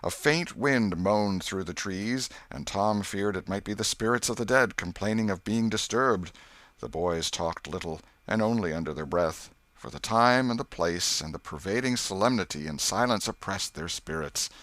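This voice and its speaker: Deep-voiced man narrating an audiobook. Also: moderate pace, a bit bright, dry recording. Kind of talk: scripted literary narration.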